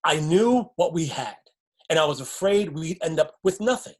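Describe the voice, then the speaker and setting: A man acts out a defiant, hopeful escapee in a dystopian stage drama. The voice is husky and a little rough. The words come out earnest and emphatic, as dramatic dialogue. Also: fairly high pitch, lively intonation, normal speed. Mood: suspicious. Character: defiant, hopeful partner plotting escape from underground.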